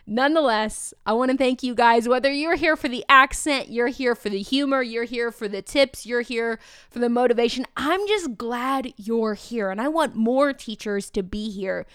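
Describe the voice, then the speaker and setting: A woman hosting a podcast for teachers, talking in an energetic, chatty, enthusiastic way. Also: on the high side, moderate pace, clean tone, no room sound, clean studio sound. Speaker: podcast host. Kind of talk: upbeat podcast talk to teachers.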